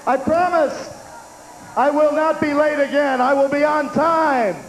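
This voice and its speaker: An older man co-hosting a festival stage with a handheld mic, cracking goofy puns and leading shouted crowd chants outdoors. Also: very high voice, steady tone, a little slow, slight background noise. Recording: echoey outdoor PA with loud crowd.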